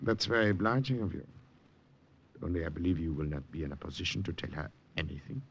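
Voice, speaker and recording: Menacing officer, fake polite then barky, swingy pitch, old muffled radio recording. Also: male voice, animated, fast, husky, full character voice. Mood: smug, sly. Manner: silky mock politeness, turning to barked commands. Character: sly, menacing German army commandant, falsely courteous, given to barking orders. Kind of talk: 1950s radio drama acting. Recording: muffled vintage radio recording, light noise.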